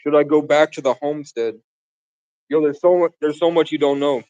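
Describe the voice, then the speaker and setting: A man's voice: a little high, steady tone, a little fast, a little mellow, no echo, lo-fi sound. A man from the Bronx, raised in Vermont, rambling grandly and casually to his viewers on a live stream. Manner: grandiose, meandering, casual slang. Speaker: livestreamer.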